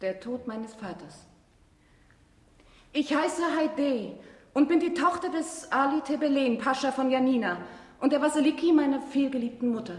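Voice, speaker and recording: An actress playing a young noblewoman, a grave and resolute witness, speaking in measured formal phrases in a radio drama. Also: high-pitched, lively intonation, darkish tone. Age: young adult. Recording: echoey hall with long reverb.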